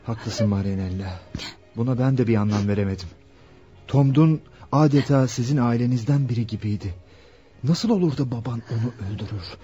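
An actor playing an attentive, earnest young fiancé in a Turkish radio play, speaking tenderly. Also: male voice, medium pitch, moderate pace, warm tone, breathy edge. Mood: soothing. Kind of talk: Turkish radio theatre acting. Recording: dull lo-fi radio drama recording.

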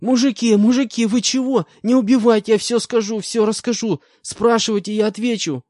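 A man's voice: sits high, expressive, a little fast, darkish tone, no echo, clean studio sound, voice pushed up. A man voicing a whiny young male convict with a scraggly beard, pleading in panic.

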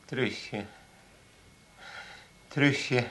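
A man's voice: medium pitch, drawn-out words, fairly bright tone. An actor playing a befuddled, lovesick old grandfather in a comic radio play, mumbling and chuckling. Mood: dreamy, lovesick. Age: older.

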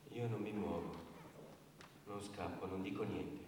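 Deep-voiced haunted man in echoing hall. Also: fairly even intonation. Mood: tense, haunted. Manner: halting, repeats phrases. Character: guilt-ridden male bystander confessing, defensive and haunted. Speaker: stage actor. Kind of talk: theatrical monologue on stage. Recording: very echoey hall, distant mic, faint noise.

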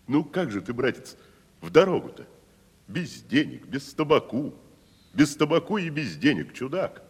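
An actor playing a proud, haughty provincial tragedian, patronizing and theatrical, in a 1970s audio stage drama. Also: male voice, mid-range voice, big pitch swings, normal speed, husky. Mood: lordly scolding. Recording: reverberant hall-like studio recording.

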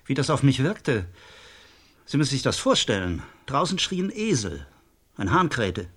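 An actor playing an expressive male commentator who vividly describes his impressions in a radio play. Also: medium pitch, a little slow, breathy edge, heavily acted. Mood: vivid, wry.

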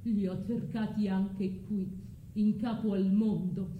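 A woman voicing Aegeus, a weary aging man seeking heirs, in grave, drawn-out theatrical speech. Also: mid-range voice, little pitch movement, phone-quality sound, a little hiss or hum, voice pushed down, natural voice. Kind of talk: theatrical monologue. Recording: roomy stage sound with music.

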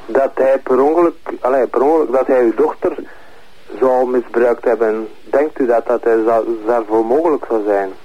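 A man with a Flemish accent, asking blunt questions haltingly in the role of an interrogator. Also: mid-range voice, warm tone, muffled recording.

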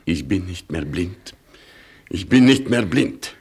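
An actor playing a proud, pious, tormented Polish officer in a German radio play, solemn and dramatic. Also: male voice, sits low, lively intonation, slow, a little mellow. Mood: solemn, moved. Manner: solemn, dramatic, wounded pride.

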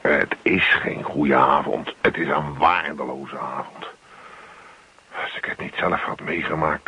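An actor playing a grumpy, easily exasperated husband in a radio play, grumbling with sarcasm and indignation. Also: male voice, very deep voice, bright, forceful tone, slightly rough, full character voice. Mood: indignant muttering. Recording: muffled, dull radio play recording.

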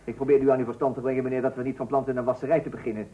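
A man's voice: medium pitch, flat delivery, racing, natural voice. An actor playing a thrifty, self-important, sceptical husband and father in an old radio comedy. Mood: flustered, insistent. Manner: sceptical, self-important, stammering when interrupted. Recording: tinny, narrow old radio recording.

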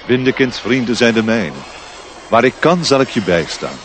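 A man's voice: mid-range voice, big pitch swings, moderate pace, lightly acted. An actor playing a benevolent, stately elf king in a solemn, ceremonious radio play manner. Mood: regal warmth. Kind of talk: Dutch radio play acting. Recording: noisy old radio play recording.

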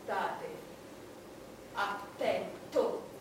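A woman's voice: medium pitch, drawn-out words. An actress playing an imperious, scornful, vulgar-tongued Queen of England in a theatrical stage monologue, with a commanding delivery. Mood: cold contempt. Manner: theatrical, commanding, swinging from hushed menace to loud outbursts. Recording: echoey, distant, noisy stage recording.

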